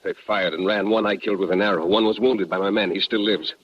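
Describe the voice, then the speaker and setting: An actor playing a proud, resolute chief in a 1950s radio drama, with formal, measured phrasing and no contractions. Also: male voice, on the low side, steady tone, lightly acted. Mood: somber, firm. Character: proud, resolute Apache chief, grave and principled. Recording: muffled vintage radio broadcast.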